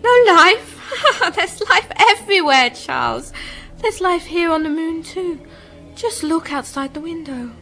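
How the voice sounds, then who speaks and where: Radio drama: serene moon spirit woman, played by an actress, high register, softly coaxing and alluring, light music underneath. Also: lively intonation, full character voice. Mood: amused, delighted. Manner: gentle, coaxing, unhurried. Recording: music behind the voice.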